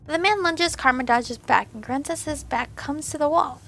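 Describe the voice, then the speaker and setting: A young woman hosting a let's play, reading a visual novel aloud with a hoarse, fading voice and casual asides. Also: sits high, moderate pace, fairly bright tone. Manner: casual asides between earnest narration. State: hoarse, losing her voice.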